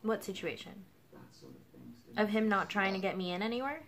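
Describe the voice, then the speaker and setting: A woman's voice: mid-range voice, normal speed, a bit bright. A young woman in her twenties, a streamer chatting bluntly with callers from her bedroom. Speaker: streamer taking calls. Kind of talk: casual chat. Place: bedroom with a sofa, indoors. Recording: echoey with callers' voices behind.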